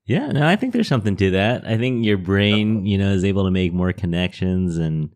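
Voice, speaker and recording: A man's voice: sits low, animated, a little fast, a little mellow, no echo. A man hosting a podcast, asking questions casually and backing the guest with short replies. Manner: supportive, frequent brief backchannels. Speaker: podcast host. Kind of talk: casual interview chat.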